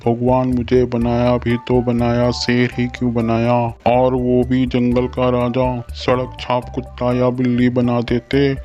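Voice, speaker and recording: A man plays a blustering jungle lion king for a cartoon fable. His delivery is fairly flat in melody and middling in pitch, full of royal self-importance that covers cowardice. Music plays clearly behind him. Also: unhurried, few pauses, highs cut off, lightly acted. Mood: despairing. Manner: pompous, blustering. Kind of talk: Hindi animated moral story dialogue.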